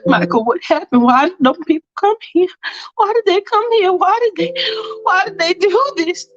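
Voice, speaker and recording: A woman's voice: animated, moderate pace. A woman voicing a frantic, pleading woman who repeats herself urgently, in a storytelling performance. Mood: tearful panic. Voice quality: high-pitched. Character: frantic, pleading, morally insistent woman named Faith. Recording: dull lo-fi sound with music behind.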